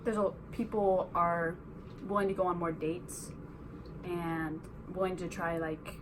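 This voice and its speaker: A young woman student answering slowly and tentatively in a street interview. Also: on the low side, little pitch movement. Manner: slow, tentative, trailing off. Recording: outdoor noise around the voice.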